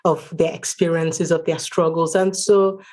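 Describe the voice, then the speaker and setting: Lowish-voiced woman from Nigeria, earnestly explaining. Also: little pitch movement, measured pace, a little mellow. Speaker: author guest. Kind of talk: conversational interview.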